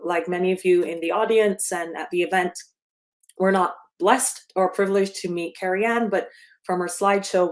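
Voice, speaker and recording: A woman in her fifties from Barbados, giving a remote slide presentation with frequent ums and self-corrections. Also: lowish voice. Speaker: guest speaker. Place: speaking remotely from home or office.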